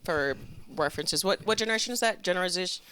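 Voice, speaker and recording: A woman of thirty opening a discussion show as host, upbeat and quick. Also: on the low side, a crowd in the background. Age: 30s.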